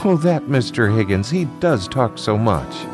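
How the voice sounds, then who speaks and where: A man's voice: medium pitch, very animated, a little slow, over music, voice pushed up. A man voicing a sensible, attentive mother in a children's story, light and matter-of-fact. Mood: amused, dismissive. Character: sensible, amused, attentive mother of a young boy.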